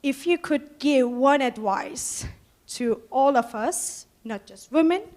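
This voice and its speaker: Young woman from Turkey, higher-pitched, slow and hesitant with fillers. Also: a little mellow. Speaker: student asking a question.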